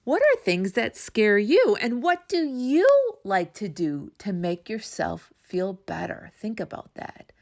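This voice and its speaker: A woman reading a children's story aloud as a storytime host, with expressive, dramatic emphasis. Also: medium pitch, takes it slowly, gravelly, few pauses. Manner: dramatic emphasis, asks listeners questions.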